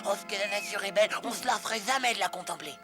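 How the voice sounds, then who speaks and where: An actor voicing a breezy, bossy but kindly male river rat in an animated film dub, chummy and exclamatory. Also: medium pitch, rapid-fire, natural voice. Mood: enthusiastic. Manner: exclamatory, chummy, self-assured. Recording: loud music behind the voice.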